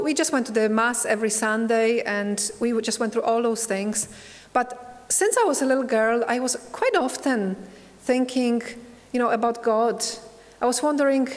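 A middle-aged woman from Poland with a Polish accent, giving an earnest personal testimony at a church pulpit, with many fillers and restarts. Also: fairly high pitch. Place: church hall at a wooden pulpit. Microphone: pulpit microphone. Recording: long hall reverb from a church.

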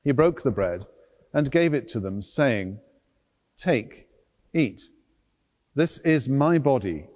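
Middle-aged priest praying in a reverberant church. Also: male voice, mid-range voice, animated, darkish tone. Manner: measured, declaiming in short deliberate phrases. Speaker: priest, celebrant at a church service. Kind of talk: solemn liturgical prayer. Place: large stone cathedral, at the altar. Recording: long reverb of a large church.

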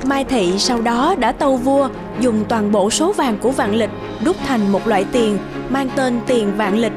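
A woman narrator with a high voice reads a scripted folktale. Music plays clearly behind her narration. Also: unhurried.

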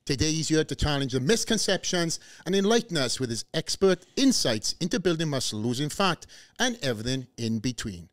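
A man with a Welsh accent hosting a podcast, friendly and laid-back, speaking into a studio boom mic. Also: sing-song, fairly quick, no room sound. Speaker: podcast host. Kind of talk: casual podcast chat. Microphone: studio boom mic with headphones.

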